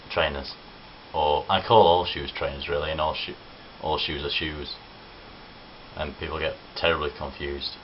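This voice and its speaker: A young man with a Midlands accent from Nottinghamshire, talking in a very low voice. He rambles through a casual vlog with self-deprecating asides. The audio is dull and lo-fi, with a faint hiss underneath. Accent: English Midlands (Nottinghamshire). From Nottinghamshire, England. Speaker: vlogger. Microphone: camera on tripod.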